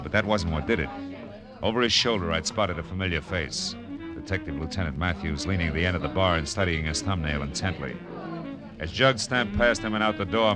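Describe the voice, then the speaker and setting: An actor playing a tough, wry American private detective in an old radio drama, with clipped hardboiled delivery. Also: male voice, low voice, natural voice. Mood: hardboiled, narrating. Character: tough, wry male private detective narrating his case. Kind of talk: 1940s-50s radio detective drama acting. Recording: old radio recording with music.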